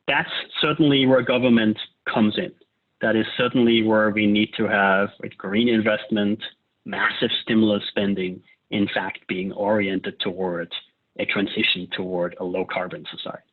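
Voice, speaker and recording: A man's voice: lowish voice, level tone, a little slow. A man from Austria, a climate economist, explaining policy in a loose lecture style, often checking in with "right?". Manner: casual, frequent "uh" and "right?" tags. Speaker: climate economist leading a discussion. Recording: tinny phone-like line.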